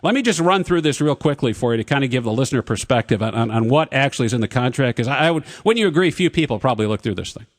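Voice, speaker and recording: A man hosting a talk radio show in the studio, who reads contract terms off in a rapid list and talks over his guests. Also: medium pitch, animated. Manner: pushy, interrupts guests. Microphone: studio broadcast mic.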